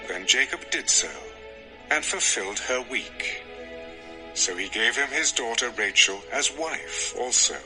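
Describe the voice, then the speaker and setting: A man's voice: medium pitch, rough recording. A man narrating scripture from a script in a dramatic storytelling style.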